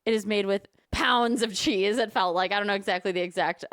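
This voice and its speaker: A woman's voice: mid-range voice, brisk, dry recording, clean studio sound. Laughing woman with a Midwest accent. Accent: Midwestern American. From Michigan, USA. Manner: lively, laughs often. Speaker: podcast co-host. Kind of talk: casual chat.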